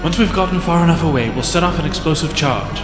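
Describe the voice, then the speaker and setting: Man acting a calm resistance scientist over music. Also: on the high side, quick pace, natural voice. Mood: brisk. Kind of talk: audio drama acting. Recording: music behind the voice.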